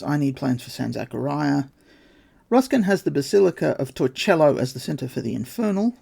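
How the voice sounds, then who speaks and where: A man's voice: mid-range voice, vocal fry, a little mellow, slightly rough. A man hosting a podcast, reading his research notes aloud with dry, wry asides. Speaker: podcast host.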